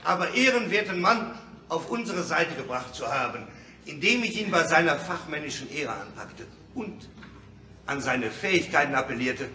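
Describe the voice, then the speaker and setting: Embattled official, sharp and high. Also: male voice, expressive, moderate pace. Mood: defensive. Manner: formal, declamatory, insistent. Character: embattled, pedantic male finance delegate defending caution. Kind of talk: theatrical radio play oratory. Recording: echoey hall, distant radio play recording.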